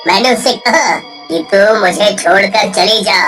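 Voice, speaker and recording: A woman voicing a slow, coaxing male ghost. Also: medium pitch, close to an everyday voice. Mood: bitter. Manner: slow, coaxing, prods with questioning hums. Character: vengeful male ghost of a betrayed villager. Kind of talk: cartoon voice acting. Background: soft music.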